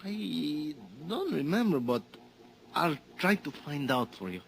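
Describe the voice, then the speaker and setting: An actor playing a sly Mexican hotel bellboy who is eager for tips, in a 1950s radio drama. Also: male voice, a little high, sing-song, measured pace, vocal fry, slight background noise, full character voice.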